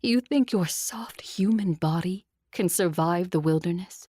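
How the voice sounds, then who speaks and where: An actress playing a proud, seductive vampire ruler in an audio drama, with a cool, controlled, imperious manner. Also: female voice, fairly low pitch, measured pace, mellow, breathy edge, dry recording. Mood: scornful. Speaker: voice actor.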